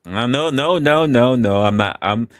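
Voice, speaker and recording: A man in his forties hosting a casual live stream chat. He is relaxed, laughs often and repeats himself as he talks. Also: medium pitch, measured pace, no echo, clean studio sound. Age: 40s. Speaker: host.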